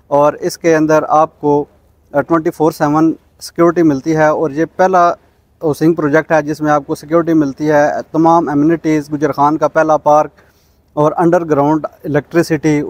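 A man's voice: a little high, no room sound. A man with a Pakistani accent hosting a property vlog, speaking politely and promotionally with English words mixed in. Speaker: host of a property channel. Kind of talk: vlog presenting and interviewing. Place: outdoors in front of a small cafe storefront. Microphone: lapel mic.

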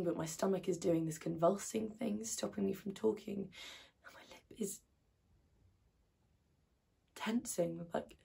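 A woman playing an outspoken, wounded 21-year-old idealist in a colloquial, emotional stage monologue, with a husky voice. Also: high voice, warm tone, voice pushed up, heavily acted.

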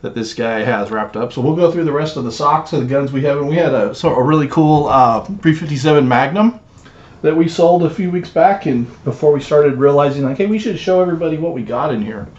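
A man's voice: on the high side, a little fast, darkish tone, muffled recording. A man in his forties casually showing items in a home office vlog, rambling with lots of fillers while he handles things on camera. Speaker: storage unit reseller. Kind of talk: casual show-and-tell vlog. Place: small home office, indoors.

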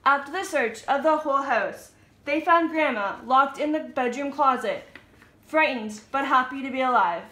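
Female storybook narrator, high and bright, scripted delivery, roomy home recording. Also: lively intonation, moderate pace.

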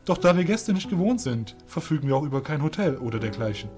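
A commanding fairy general acted by a man in a fantasy audio drama, his voice a little high. He is courteous, formal and authoritative, with music faintly behind him. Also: very animated, quick pace, a little mellow. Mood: courteous authority.

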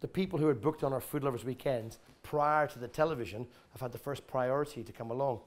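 A middle-aged man giving an informal talk and taking audience questions in a large exhibition tent, blunt and animated, swearing freely and restarting his sentences often. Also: medium pitch, fast talker. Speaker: guest speaker at an expo.

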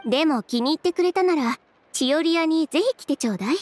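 High-voiced, self-assured designer. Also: female voice, animated, fast, a little mellow, soft background music. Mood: brisk, assured. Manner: matter-of-fact, decisive, frank. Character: confident, brisk young woman fashion designer with dry frankness. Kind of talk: Japanese anime game voice acting.

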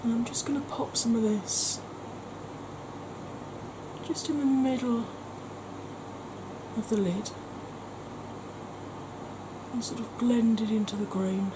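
A woman in her forties talking through a makeup tutorial, chatty and sometimes dropping to a soft near-whisper, recorded close to the camera at home. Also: a little high, fairly even intonation, slow, long pauses, noisy background. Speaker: makeup vlogger. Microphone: camera close to the face.

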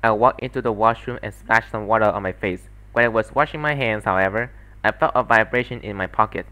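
A man's voice: lowish voice, a little fast, clear, resonant tone, lo-fi sound. Man narrating a visual novel story. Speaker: solo dubber and narrator. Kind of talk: scripted story narration, fandub.

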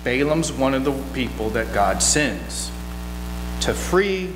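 A man's voice: mid-range voice, slow pace. A middle-aged man giving an informal, story-driven sermon from a church pulpit in a folksy, conversational manner. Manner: folksy, conversational, animated asides. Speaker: guest preacher. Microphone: gooseneck pulpit microphone. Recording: echoey hall, long reverb, mains hum.

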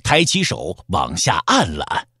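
A man's voice: low voice, huge pitch swings, measured pace, pushed and bright, husky. A man narrating an audio drama as a dramatic storyteller, with emphatic, theatrical phrasing.

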